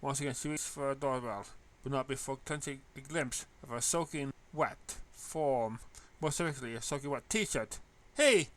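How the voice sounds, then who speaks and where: A man's voice: medium pitch, fairly even intonation, moderate pace, a little hiss or hum. A man narrating a story in a read-aloud style. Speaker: fan fiction reader.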